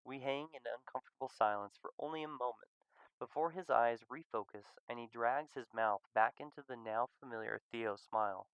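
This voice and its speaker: A man reading a visual novel live in a soft, quiet narration, chatting with viewers between lines. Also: mid-range voice, brisk, smooth, no room sound, dull audio.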